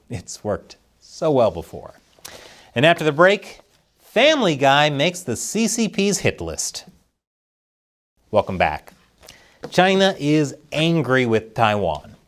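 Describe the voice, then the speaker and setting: A man reads satirical news commentary with a very lively, swinging pitch. He keeps a mock-serious anchor delivery and lands his punchlines with dry sarcasm. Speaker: host of a satirical news show.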